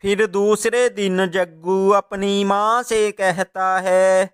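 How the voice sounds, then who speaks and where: A male narrator reads a scripted children's story. His delivery is very slow, with an exceptionally clean, smooth voice. Each sentence is told as plain storytelling. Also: high voice, steady tone.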